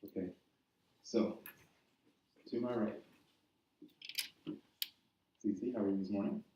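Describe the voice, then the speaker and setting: Low-voiced man leading a church interview. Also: fairly even intonation, takes it slowly, noisy background. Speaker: service moderator and interviewer. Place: church sanctuary. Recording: echoey room from far off, dull.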